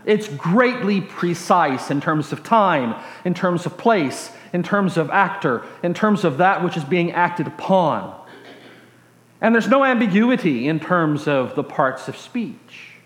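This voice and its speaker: An American man from Texas preaching through personal stories in a reflective, halting way with dry humorous asides. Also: on the high side, expressive, fairly bright tone. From Texas, USA. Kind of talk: sermon storytelling. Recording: echoey room.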